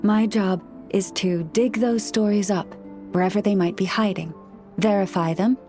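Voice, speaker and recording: An actress playing a gentle, scholarly young priestess in a video game, speaking in a formal, measured, courteous way. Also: female voice, medium pitch, fairly even intonation, lightly acted. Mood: gracious, courteous. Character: gentle, scholarly young woman, a nature-loving moon priestess. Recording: music behind the voice. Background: background music.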